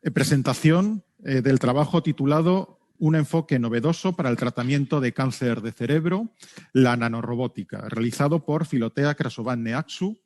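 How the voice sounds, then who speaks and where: A man's voice: medium pitch, a little mellow. A man with a Spanish accent from Spain, courteously moderating a live online event and talking informally with presenters. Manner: courteous, checking technical details. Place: video call.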